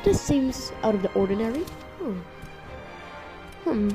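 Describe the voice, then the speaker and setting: Female performer as obsessed, irritable stick-figure inventor, comedic fan dub; slow muttering, swearing, mellow tone. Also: a little high, animated. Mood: muttering, absorbed. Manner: muttering, profane. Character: frustrated, obsessive cartoon stick-figure inventor desperate for revenge. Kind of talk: improvised comedic fan voiceover of an animation. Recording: music playing behind the voice. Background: background music.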